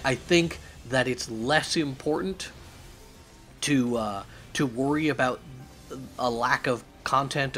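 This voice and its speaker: A man hosting a channel, recapping game news with opinions and many fillers, his voice raspy and hoarse from a cough. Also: mid-range voice, fairly bright tone. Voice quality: raspy, strained. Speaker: YouTube channel host.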